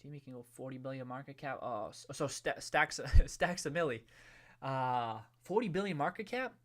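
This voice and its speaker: A man's voice: medium pitch, animated, normal speed, fairly bright tone. Chatty man hosting a livestream. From New England. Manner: loose and chatty, many restarts, hums a tune. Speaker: livestream host. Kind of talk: casual livestream chat reading viewer comments.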